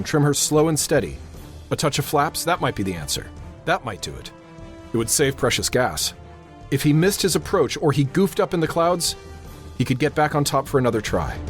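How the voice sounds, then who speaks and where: An actor playing a tense, authoritative airfield controller in a radio drama, narrating and giving orders with clipped urgency. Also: male voice, mid-range voice, lightly acted. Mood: grave suspense. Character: tense, commanding male airfield tower controller narrating a crisis.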